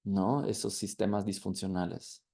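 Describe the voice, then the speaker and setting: A man with a Mexican accent hosting a podcast interview, speaking in a soft, reflective way. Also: low-pitched, flat delivery, moderate pace, vocal fry. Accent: Mexican Spanish. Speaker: podcast host.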